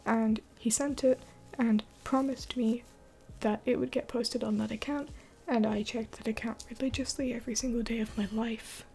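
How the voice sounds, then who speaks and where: A woman's voice: a little high, steady tone, moderate pace, hardly any pauses, mellow, low-quality recording. A woman in her twenties hosting a late-night live Q&A, rambling with many fillers and often dropping to a whisper. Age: 20s. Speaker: livestream host.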